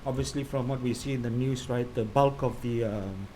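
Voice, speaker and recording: Mellow-voiced man hosting an interview. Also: medium pitch, normal speed, a little hiss or hum. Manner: chatty, rambling questions with "you know". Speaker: host of a live interview series. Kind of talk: live online interview.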